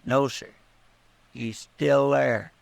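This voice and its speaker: Creaky, drawling frontier sidekick. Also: male voice, medium pitch, steady tone, very slow, no room sound, heavily acted. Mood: suspicious, eager. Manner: rambling, deferential, folksy. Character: folksy, earnest, garrulous deputy of a western marshal. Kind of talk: 1950s western radio drama acting.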